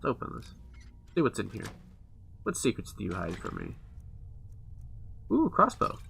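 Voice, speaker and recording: A man with a bright, forward voice pitched a little low chats through a let's play. He is offhand and musing, talking conversationally over faint game music. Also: huge pitch swings, normal speed, vocal fry. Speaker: gamer commentating on his play. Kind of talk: casual let's play commentary.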